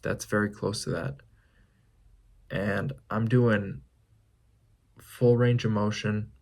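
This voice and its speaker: A man in his twenties talking through his thoughts in a casual vlog, musing with pauses and restarts. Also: fairly low pitch, little pitch movement, vocal fry, darkish tone. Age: 20s. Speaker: fitness vlogger. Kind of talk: reflective casual vlog monologue.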